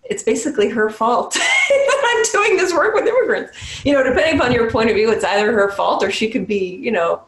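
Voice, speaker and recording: A woman's voice: on the high side, big pitch swings. Reflective woman on an echoey video call. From New Hampshire, USA. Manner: thoughtful, unhurried, with small restarts and soft laughs. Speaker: Zen priest and meditation teacher. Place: small home room with a shrine. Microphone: webcam on a video call.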